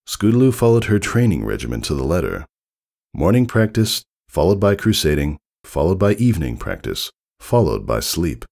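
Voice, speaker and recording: A man reading scripted story narration with dramatic, measured phrasing. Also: a bass voice, gravelly, darkish tone. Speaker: fan fiction narrator.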